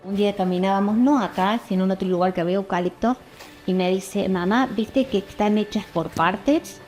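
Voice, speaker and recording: A middle-aged woman with an Argentine accent, a mother fondly recalling her daughter's childhood in lively anecdotes. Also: medium pitch, normal speed, faint music behind. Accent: Argentine Spanish. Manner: animated anecdote-telling, acting out quotes. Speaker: painter's mother. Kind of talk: casual family reminiscing.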